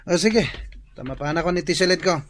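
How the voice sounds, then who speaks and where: A man's voice: high voice, fast talker, close to an everyday voice. A man acting the casual, entitled young son of a household in a radio drama, with a noisy background. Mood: casual, brusque.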